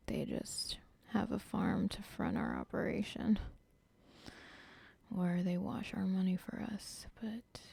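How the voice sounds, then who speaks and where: A woman's voice: mid-range voice, creaky, mellow, slightly rough, full character voice. A woman whispering an ASMR roleplay as a cold, controlling female cartel boss who talks in a menacing, dismissive way. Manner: whispering throughout.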